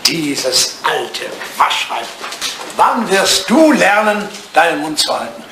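An actor playing an aging English lord, whimsical, nostalgic and imperious, in a theatrical German radio play. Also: male voice, a little high, sing-song, takes it slowly, bright, forceful tone, exaggerated voice. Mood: irritated, imperious. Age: older. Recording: roomy sound with clear background noise.

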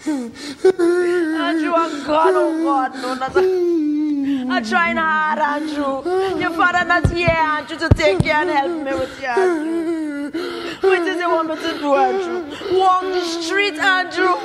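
A woman's voice: extremely high pitch, fairly even intonation, slow, heavy background noise. A woman playing a pleading single mother. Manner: pleading, often crying.

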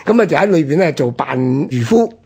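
A man with a Hong Kong Cantonese accent telling history conversationally as a radio host, explaining point by point in a husky, rough-edged voice. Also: a little high, big pitch swings, fairly quick, warm tone.

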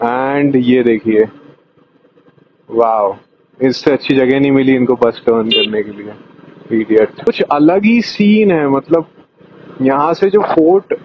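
A man in his thirties riding a motorbike on a highway, chatting slowly about his route as a travel vlogger and thinking aloud. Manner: slow, easygoing, thinking aloud. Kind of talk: casual ride commentary mixing Hindi and English. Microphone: helmet or bike-mounted action camera. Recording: dull lo-fi mic with road wind noise.

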